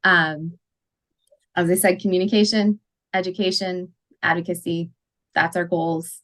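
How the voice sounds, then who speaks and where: A woman's voice: fairly low pitch, flat delivery, a little slow, fairly bright tone. Woman, meeting host, casual friendly manner, video call. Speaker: parent group meeting host. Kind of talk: hosting an online meeting.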